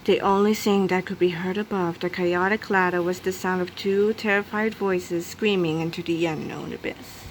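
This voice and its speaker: A woman's voice: fairly low pitch, steady tone, normal speed, few pauses, a little hiss or hum, low-quality recording. A woman reading a children's book aloud as scripted narration. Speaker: storybook reader.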